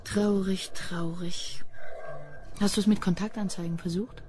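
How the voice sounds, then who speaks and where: A woman playing a cool, deadpan police detective in a German crime radio play. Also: mid-range voice, unhurried, slight background noise, lightly acted. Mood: cool teasing. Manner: dry, deadpan.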